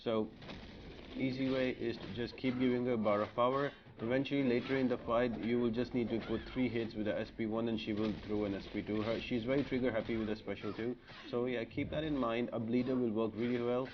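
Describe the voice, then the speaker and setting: A man with a medium-pitched voice guides listeners through a video game. His delivery is instructional walkthrough commentary. There is clear noise behind his voice. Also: steady tone, a little fast, dull audio.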